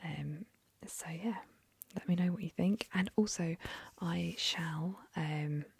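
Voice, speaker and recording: A young woman whispering an ASMR story reading into a close mic, softly, with gentle fillers. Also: on the low side, takes it slowly, creaky, slightly rough, no echo. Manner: soft whisper, chatty with fillers after the story.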